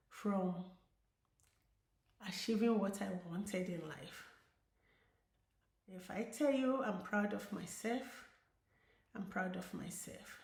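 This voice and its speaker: A middle-aged Nigerian-accented woman speaks at an unhurried pace, earnest and encouraging, leaning on repeated words to drive her point home. Her voice sits mid-range and carries a roomy echo from the space around her. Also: mid-range voice, darkish tone. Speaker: diabetes educator. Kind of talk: casual vlog talk to viewers.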